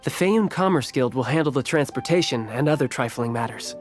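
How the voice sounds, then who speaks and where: A man's voice: medium pitch, animated, brisk, soft background music, close to an everyday voice. A man voicing a composed trading guild agent in a video game, giving instructions. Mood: calm. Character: male trading guild agent, calm and businesslike.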